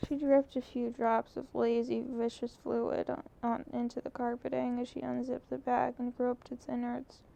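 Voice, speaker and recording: A woman narrating a novel in a measured, even delivery with occasional slips. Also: on the high side, gravelly. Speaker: audiobook narrator. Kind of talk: novel reading. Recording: muffled lo-fi recording.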